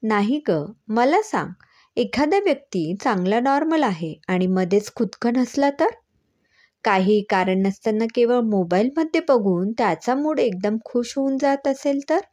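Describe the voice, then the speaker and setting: A woman's voice: fairly high pitch, lively intonation, unhurried, darkish tone. A woman storyteller voicing a clever, teasing woman doctor who explains things patiently and with assurance.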